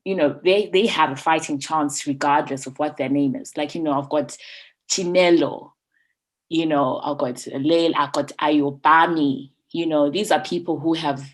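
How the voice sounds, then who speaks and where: A woman's voice: sits low, steady tone, moderate pace. A woman co-hosting a podcast, thinking aloud in a casual chat and filling her sentences with "you know" and "like". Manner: thoughtful, fills with "you know" and "like", frequent "mm" agreement. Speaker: podcast co-host.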